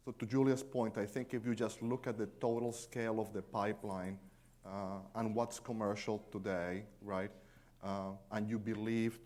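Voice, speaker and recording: A man's voice: fairly low pitch, a little mellow. A man from Chile speaking as an industry panelist in a conference panel discussion, conversational, often checking in with "right?" and slipping in dry jokes. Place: conference stage.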